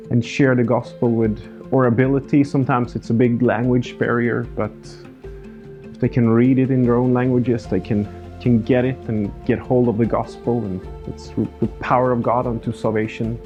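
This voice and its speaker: A man's voice: medium pitch, dark tone, dull audio. A Swedish man in his thirties with a Swedish accent and native Swedish, a pastor speaking earnestly in a personal documentary interview. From Sweden, countryside. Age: 30s. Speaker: pastor and church planter.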